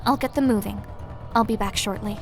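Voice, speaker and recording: A man plays a stern ninja woman in a fantasy audiobook. The voice sits far higher, firm and sharp in its admonishing, over clear music. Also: fairly even intonation, fairly quick. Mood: dutiful. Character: stern, honorable female fantasy ninja master.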